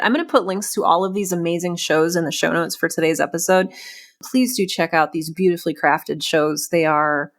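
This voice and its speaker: A woman hosting a podcast in a casual interview conversation, thinking aloud reflectively in broken phrases. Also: fairly low pitch. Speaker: podcast host and audio drama creator.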